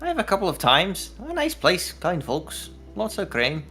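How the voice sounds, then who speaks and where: Man putting on a folksy, animated villager. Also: high-pitched, normal speed, voice pushed up, exaggerated voice. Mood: chatty. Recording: music behind the voice.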